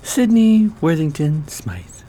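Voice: mid-range voice, very animated, takes it slowly, mellow. An actor playing a cowardly, sullen petty crook of thirty who gives short, grudging answers in an audio drama. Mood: frightened, grudging. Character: cowardly, sullen thirty-year-old male petty crook held captive.

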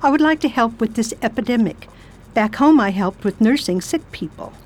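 A woman's voice: fairly high pitch, animated, normal speed, darkish tone. A woman playing a determined volunteer nurse in a radio play, formal, forthright and insistent. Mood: polite, firm.

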